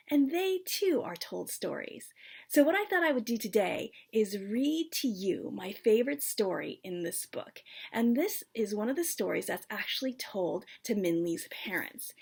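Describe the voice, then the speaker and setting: Higher-voiced woman reading a storybook, slightly husky. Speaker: author reading own book. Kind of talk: storybook read-aloud.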